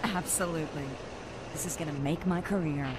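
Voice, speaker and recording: A woman's voice: low voice, a little fast, natural voice. A woman playing a brash, agitated, ambitious reporter in a video game, urgent and indignant. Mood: urgent, determined. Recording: noisy game cutscene audio.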